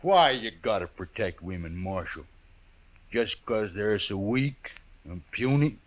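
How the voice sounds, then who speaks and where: An actor playing a huge, simple, blunt buffalo hunter with a rustic drawl in a 1950s western radio drama. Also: male voice, lowish voice, animated. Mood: sullen, defiant. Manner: slow, rustic ungrammatical drawl.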